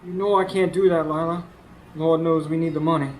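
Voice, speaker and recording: A man plays a hard-working, worn-out husband with worry in his delivery. His voice sits a little high, in radio drama style. There is slight noise in the recording. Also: steady tone, fairly quick, a little mellow, close to an everyday voice, rough recording. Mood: groggy, flustered. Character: weary, anxious, hard-working husband haunted at night. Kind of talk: radio drama acting with first-person narration. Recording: faint background noise, live stage.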